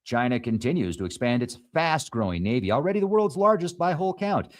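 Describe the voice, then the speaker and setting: A man who hosts a show reads news stories aloud and adds quick asides. English is not his first language, and he sometimes stumbles over place names. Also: mid-range voice, big pitch swings. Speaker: show host. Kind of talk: reading news headlines with commentary.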